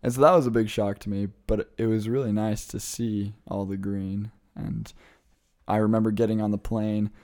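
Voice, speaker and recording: Chatty young man, low mellow voice. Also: flat delivery, normal speed. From Midwest United States. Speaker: guest, returned missionary. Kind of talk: casual interview answers.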